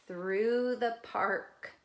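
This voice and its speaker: A woman's voice: medium pitch, drawn-out words. A woman reading a picture book aloud to young children like a teacher, expressively, with explanatory asides. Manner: careful, expressive storytelling with gentle questions to listeners.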